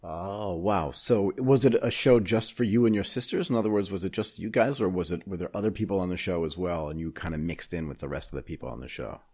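A man's voice: sits low, fast, hardly any pauses, a little mellow, slightly rough. A man hosting an interview podcast, chatty and asking long, rambling questions. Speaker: podcast host.

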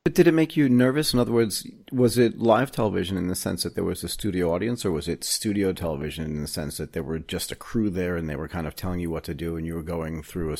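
A man's voice: low-pitched, creaky, darkish tone. A man hosting an interview podcast, chatty and asking long, rambling questions. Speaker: podcast host.

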